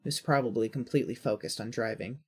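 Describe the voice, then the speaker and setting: A woman's voice: extremely low pitch, fast, slightly rough. A woman reading scripted second-person story narration.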